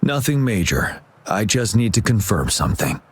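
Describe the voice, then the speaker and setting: A man playing a calm, attentive military commander with a formal, measured manner in a video game. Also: on the low side, slight background noise. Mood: calm, analytical.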